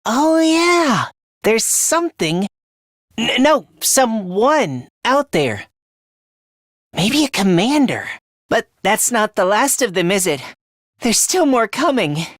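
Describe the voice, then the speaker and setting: High, timid, self-loathing young fighter. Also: male voice, sing-song, slow, long pauses, a bit bright, no room sound, clean studio sound, heavily acted. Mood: hesitant, meek. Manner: stammering, hesitant, self-deprecating. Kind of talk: video game voice acting.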